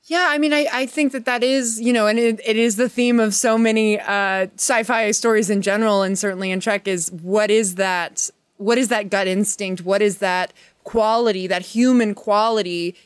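A woman's voice: medium pitch, fairly even intonation, moderate pace, hardly any pauses, smooth, no room sound. Earnest woman guest, dry close sound. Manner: earnest, wordy, searching for words with restarts. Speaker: podcast guest, performer. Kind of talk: casual, reflective podcast conversation.

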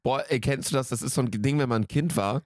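A man's voice: mid-range voice, quick pace, darkish tone, no echo, studio quality. A man in his late twenties co-hosting a podcast in casual, slangy, jokey banter. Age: late 20s. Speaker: podcast co-host.

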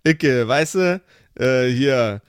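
A comically overdone Berliner, put on by a man, drawling his words in a thick Berlin dialect. The voice is slow and deliberately exaggerated. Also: medium pitch, animated, clean tone, no echo, studio quality. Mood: joking.

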